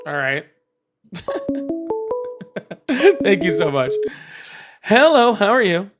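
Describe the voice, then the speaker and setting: A slightly higher-voiced man hosting a political livestream and taking callers. He talks in an animated, chatty way, laughs easily and keeps checking in with "all right?" as he reads chat comments aloud. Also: slow, music in the background. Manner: argumentative with callers. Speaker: livestream host. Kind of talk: casual livestream call-in chat.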